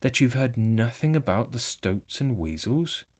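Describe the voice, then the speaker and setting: A man's voice: medium pitch, lively intonation, a little slow, darkish tone, pitched above the performer's own voice. Stern, sensible storybook water rat, voiced by a man narrator; earnest scolding. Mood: excited.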